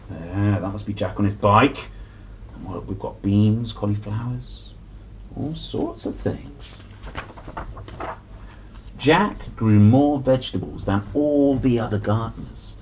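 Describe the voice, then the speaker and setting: A man hosting a picture-book read-aloud, telling the story in a playful, exclamatory way with drawn-out phrases and chatty asides to children. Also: lowish voice, a little mellow, slight background noise, poor audio. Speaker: host reading picture books aloud.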